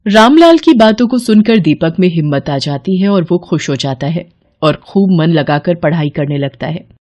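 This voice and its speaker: A woman's voice: on the low side, expressive, moderate pace, mellow. A woman storyteller reading scripted narration for a children's moral story.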